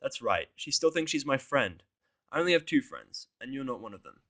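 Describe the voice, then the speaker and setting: A man's voice: medium pitch, animated, fairly quick, bright, forceful tone. A grumbling, cynical human played by a man reader in a fantasy audio story. The delivery is blunt and sarcastic, in a middle register. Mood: annoyed. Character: surly, foul-mouthed, cynical human in pony world.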